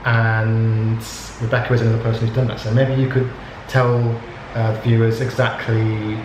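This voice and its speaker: A man hosting a casual interview, talking in a relaxed and rambling way with many fillers. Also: fairly low pitch, moderate pace, muffled recording. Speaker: host. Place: indoors at a yoga retreat. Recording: echoey room with background noise.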